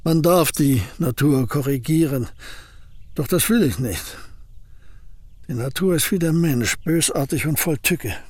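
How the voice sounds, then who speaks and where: A man's voice: fairly high pitch, measured pace, husky, dry recording. An actor playing an old, misanthropic male painter and poet in a radio drama, answering in terse, dry, sardonic replies. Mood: gruff. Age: older.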